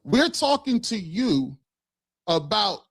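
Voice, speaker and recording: A man co-hosting a sports stream, arguing his point with rapid, pointed questions. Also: sits high, sing-song, gravelly, a bit bright, no room sound, studio quality. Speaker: co-host. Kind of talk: heated sports debate.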